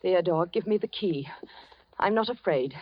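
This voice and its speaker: A woman's voice: fairly low pitch, animated, moderate pace. An actress playing an anxious young woman in 1940s radio drama style, tremulous and pleading. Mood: uneasy. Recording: dull, noisy old radio recording.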